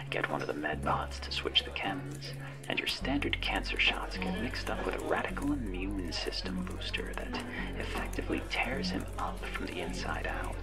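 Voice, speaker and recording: A man playing a sly, menacing, all-knowing cyberpunk handler in an ASMR roleplay, with a whispery, gravelly voice and a sardonic, teasing manner. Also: low voice, exaggerated voice. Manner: slow-burning, sardonic, teasing.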